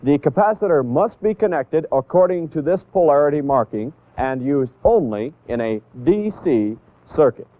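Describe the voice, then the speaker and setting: A man's voice: on the high side, lively intonation, dry recording. Tinny 1960s American training film man. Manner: deliberate, didactic, emphatic on key points. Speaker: instructor narrating a military training film. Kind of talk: 1960s scripted instructional narration.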